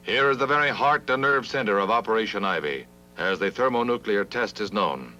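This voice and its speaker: A man's voice: on the low side, expressive, fairly quick. An American man narrating a 1950s newsreel in a formal, dramatic, declamatory manner. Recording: dull vintage newsreel, loud music behind. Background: loud music.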